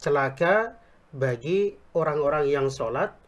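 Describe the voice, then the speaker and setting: A man explaining religious teaching on a talk show, speaking Indonesian with a Javanese accent picked up in Yogyakarta, quoting Arabic scripture in a measured way. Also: on the high side, lively intonation, lo-fi sound. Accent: Javanese-tinged Indonesian picked up in Yogyakarta. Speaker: Islamic preacher, guest.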